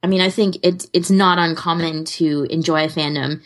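Low-pitched woman chatting, rambling and amused. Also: steady tone, normal speed. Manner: rambling, self-deprecating, often amused. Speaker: podcast co-host. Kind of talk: casual fan podcast chat.

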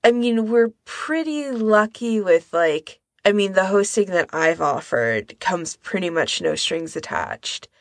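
A woman's voice: medium pitch, expressive, unhurried, hardly any pauses, no room sound, clean studio sound. A woman co-hosting a podcast in casual discussion, talking tentatively and carefully qualifying what she says. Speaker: podcast co-host.

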